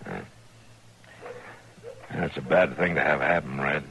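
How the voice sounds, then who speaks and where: An actor playing a weary, steady American marshal, laconic and calm, in an old-time radio western. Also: male voice, rumbling low, unhurried, vocal fry, heavily acted. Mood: firm, calming. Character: weary, steady male Old West marshal keeping order. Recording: noisy old radio recording.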